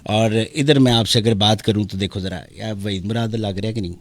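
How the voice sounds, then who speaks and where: A man's voice: lowish voice, steady tone. A Pakistani man hosting a live radio show, chatting playfully, reading out listeners' messages and switching into English phrases. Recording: loud music behind the voice. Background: music.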